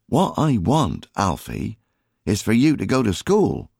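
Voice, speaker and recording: A bossy, fond rural wife and mother, voiced by a man reading an audiobook. She scolds in plain folksy speech, pitched well above the reader's own voice, with lively swings and a dry sound. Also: measured pace, gravelly, breathy edge, no echo, full character voice. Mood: firm. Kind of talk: audiobook character acting.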